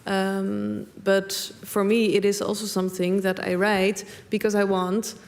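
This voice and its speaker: A woman's voice: mid-range voice, little pitch movement, measured pace, creaky. A young woman from the Netherlands, talking hesitantly and thoughtfully on stage with many fillers. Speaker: panel guest. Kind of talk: public conversation on stage.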